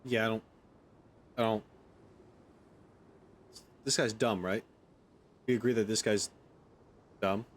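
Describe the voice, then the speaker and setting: A man's voice: on the low side, steady tone, slow, long pauses. A man hosting a gaming video, giving casual, wry commentary as he plays. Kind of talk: casual game commentary, reading dialogue along.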